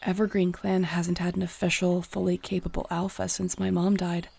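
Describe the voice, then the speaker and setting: A woman playing an earnest, tender young shapeshifter clan leader in an intimate audio roleplay, speaking softly and confidingly. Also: fairly low pitch, little pitch movement, moderate pace, vocal fry, slightly rough, heavily acted. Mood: relaxed, fond. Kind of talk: intimate audio roleplay acting addressed to the listener.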